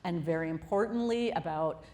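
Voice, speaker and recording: A woman's voice: on the low side, moderate pace, dark tone. A woman host introducing a health talk to a live audience in a room, chatty and loose, with frequent fillers. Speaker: CEO hosting a health talk.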